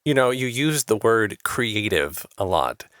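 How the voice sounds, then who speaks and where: A man's voice: fairly low pitch, big pitch swings, a little slow, fairly bright tone, dry recording, studio quality. A man hosting an interview show, upbeat and effusive in how he talks to his guest. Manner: upbeat and effusive, with scripted-sounding intros. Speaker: podcast host.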